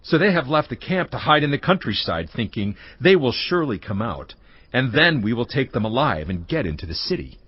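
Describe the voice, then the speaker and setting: An actor playing a distraught, wrathful king of ancient Israel in a dramatised audio Bible, declaiming gravely. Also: medium pitch, sing-song, brisk. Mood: wary. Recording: dull, muffled audio over music.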